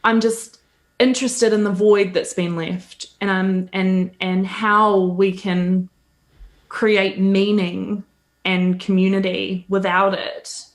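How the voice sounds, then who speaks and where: A woman's voice: mid-range voice, little pitch movement, slow. Woman with a Kiwi accent, hesitant. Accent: New Zealand. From New Zealand. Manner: hesitant, many ums, laughs often. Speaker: guest, podcaster. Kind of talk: casual interview chat.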